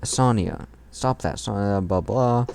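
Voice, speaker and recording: A man's voice: lowish voice, slow pace, gravelly, a little mellow, clean tone. A man reading fan fiction aloud in a mocking, irreverent way, tossing in crude comments of his own.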